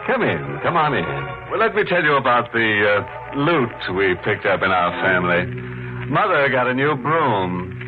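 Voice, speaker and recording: Macabre horror host and narrator, male actor, mock-genial and very expressive, on a tinny vintage broadcast with music. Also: medium pitch, close to an everyday voice. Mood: ghoulishly jovial. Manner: theatrical, mock-genial, drawn-out delivery. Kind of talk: 1950s radio horror hosting and narration.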